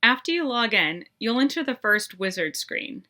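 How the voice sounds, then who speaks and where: Woman doing a scripted walkthrough voiceover, crisp bright tone, upbeat and instructive. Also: a little high, big pitch swings, normal speed. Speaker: tutorial presenter. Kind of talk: scripted tutorial narration.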